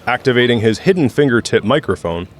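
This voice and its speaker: A man's voice: mid-range voice, animated, fast, a little hiss or hum. A man reading scripted third-person narration for an audio drama.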